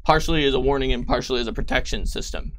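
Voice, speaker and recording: A man narrating casually as game master and host, explaining things with some halting. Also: medium pitch.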